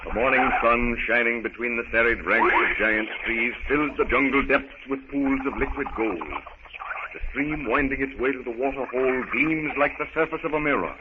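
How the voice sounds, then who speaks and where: A man's voice: medium pitch, steady tone, moderate pace. A man narrating a scripted 1930s radio drama in a formal storytelling manner. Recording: muffled, noisy 1930s radio recording.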